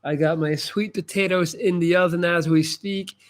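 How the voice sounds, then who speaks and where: A man's voice: sits high, fairly even intonation, moderate pace. A Belgian man, a native Dutch speaker with an American-sounding accent, chatting casually as he hosts a livestream, informal and emphatic with slangy asides. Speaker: livestream host giving advice. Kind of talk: casual live chat, answering comments.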